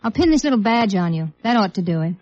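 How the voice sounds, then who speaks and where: An actress playing a brisk, helpful American company office woman in 1950s radio drama style. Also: medium pitch, animated, a little mellow, soft background music, natural voice. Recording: muffled old radio recording.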